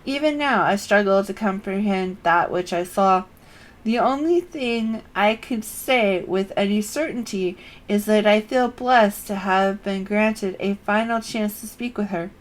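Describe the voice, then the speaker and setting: A woman reading aloud the lines of an earnest young male scholar in measured, formal phrasing, in a plain voice close to her own, louder than her usual talk. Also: mid-range voice, close to an everyday voice. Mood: solemn. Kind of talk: reading game dialogue aloud.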